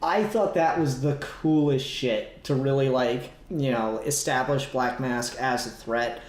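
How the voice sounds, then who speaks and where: A man's voice: mid-range voice, measured pace, roomy sound. A man talking casually and informally in a podcast discussion, swearing freely. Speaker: podcast host.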